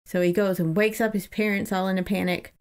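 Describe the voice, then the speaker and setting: A woman talks through a story in a casual podcast style. Her voice sits at an ordinary middle pitch, and her delivery is conversational and irreverent, like a co-host recapping a book for a friend. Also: flat delivery, fairly quick, darkish tone, clear, resonant tone.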